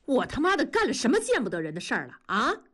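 A woman's voice: mid-range voice, very animated, unhurried, husky. A woman voicing a hot-tempered husband in an audiobook, indignant, defensive and blustering. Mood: furious, defensive. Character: hot-tempered husband, an indignant adult man, shouting back. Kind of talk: audiobook character dialogue.

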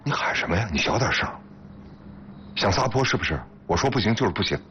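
An actor playing a guarded, exasperated undercover intelligence officer in a naturalistic TV drama. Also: male voice, sits low, very animated, rapid-fire, pushed and bright, husky, dull audio, full character voice. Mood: angry, exasperated.

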